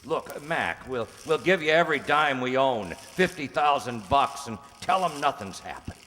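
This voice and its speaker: A man's voice: medium pitch, lively intonation, moderate pace, bright, forceful tone, slight background noise. A man playing a surly, suspicious criminal henchman in an old-time radio crime drama, talking like an emphatic tough guy. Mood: pleading, desperate. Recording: echoey hall stage recording.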